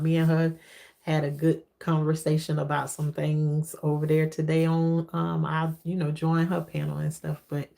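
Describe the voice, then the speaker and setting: A woman with a deep voice chatting casually as a livestream host, testifying and repeating phrases for emphasis. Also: gravelly, a little mellow.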